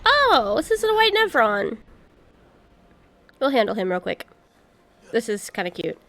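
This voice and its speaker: Young woman streaming games, casual chat, highish voice with lots of fry. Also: sing-song, unhurried, fairly bright tone. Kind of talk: casual video game playthrough commentary.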